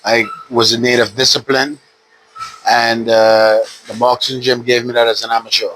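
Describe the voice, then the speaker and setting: Guy in his 50s, ex boxer, laid back storytelling w lots of uh's, bright voice. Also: medium pitch, moderate pace, a little hiss or hum, rough recording. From Bahamas. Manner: relaxed, steady storytelling with frequent "uh". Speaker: retired pro boxer, guest. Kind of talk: casual interview. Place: boxing gym.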